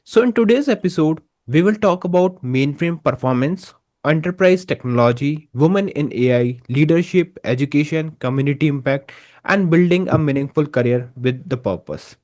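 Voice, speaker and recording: Halting male podcast host. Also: fairly high pitch, lively intonation, darkish tone. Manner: loose, halting questions with many fillers. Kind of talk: interview host.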